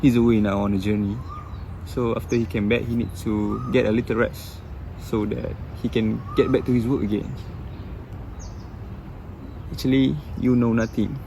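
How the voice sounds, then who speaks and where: A man playing a weary, formal gentleman near sixty in a stage play, speaking in a measured, slightly halting, melancholy way. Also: mid-range voice, level tone, creaky, background noise, close to an everyday voice. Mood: resigned. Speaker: stage actor.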